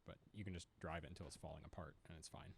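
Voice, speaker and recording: A man's voice: sits low, fairly even intonation, rapid-fire. A man in a casual podcast chat who drops in short, dry remarks. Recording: noisy mic with clicks.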